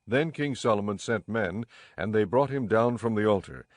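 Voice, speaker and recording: A man narrating scripture in a measured, formal storytelling manner for a dramatized audiobook. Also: fairly low pitch, expressive, dry recording, studio quality.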